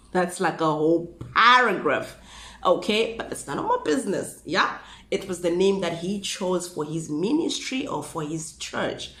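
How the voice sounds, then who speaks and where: A woman in her thirties with a Zimbabwean accent, hoarse from a flu, telling a true crime story in a chatty way with asides and rhetorical questions, filmed indoors at a desk. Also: lowish voice, fairly bright tone. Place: small room indoors. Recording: echoey room.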